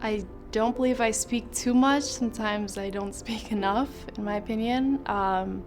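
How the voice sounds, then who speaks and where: A woman's voice: fairly high pitch, moderate pace. A woman student in an interview testimonial, talking shyly and hesitantly with many fillers. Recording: music playing underneath. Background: background music.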